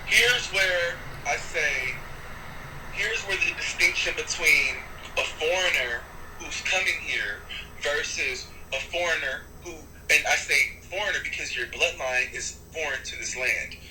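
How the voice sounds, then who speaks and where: A man who is a rapper and artist gives long, animated interview answers, often restarting his sentences and swearing for emphasis. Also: a little high, unhurried, reverberant, background noise. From United States.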